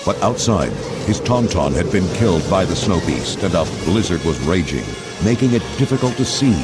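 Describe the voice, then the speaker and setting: A man's voice: low-pitched, expressive, moderate pace. A man narrating a scripted storybook read-along in a dramatic storytelling manner. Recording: loud music under narration.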